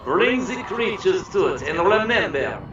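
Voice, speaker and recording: A man's voice: sits high, very animated. A man playing a cold, commanding prison guard in an audio drama, drawing out menacing orders. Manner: commanding, drawn-out delivery. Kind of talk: audio drama villain acting. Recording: very echoey, distant, muffled, noisy ambience.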